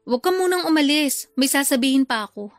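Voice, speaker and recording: High-voiced, defensive older sister. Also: female voice, lively intonation, a little fast, no room sound, clean studio sound. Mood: sulky, hurt. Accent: Filipino. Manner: defensive, pleading, sulky. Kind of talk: Filipino radio drama acting. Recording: music under the voice.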